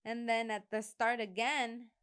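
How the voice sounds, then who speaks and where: A young woman in her twenties, a podcast guest, talking in a relaxed, easygoing way. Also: on the high side, unhurried, smooth. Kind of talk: casual chat.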